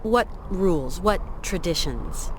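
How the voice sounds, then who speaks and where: An actress playing an inquisitive, determined traveller in a video game, polite but direct, probing and dryly sardonic. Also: female voice, on the low side, lively intonation, takes it slowly, a little hiss or hum. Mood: challenging.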